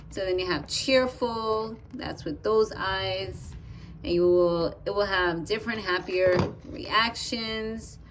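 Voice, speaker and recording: A chatty, excitable woman with a mixed West Indian and Bronx accent, unboxing a product and reading its instructions aloud with asides. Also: lowish voice, a little hiss or hum, poor audio. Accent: West Indian and Bronx New York mix. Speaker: vlogger. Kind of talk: casual unboxing chat.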